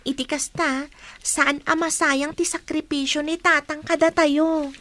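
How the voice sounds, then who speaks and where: A woman's voice: sits high, flat delivery, unhurried, bright, forceful tone. A woman playing a dutiful, grateful teenage daughter in a radio drama, speaking earnestly and tenderly. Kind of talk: Ilocano radio drama acting.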